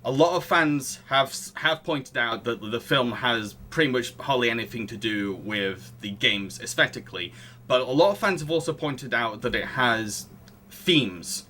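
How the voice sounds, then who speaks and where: A man's voice: medium pitch, bright, forceful tone, slight background noise. A man hosting a podcast, talking casually about films in a chatty, hesitant way, circling round his explanations. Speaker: podcast host. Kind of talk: casual film discussion.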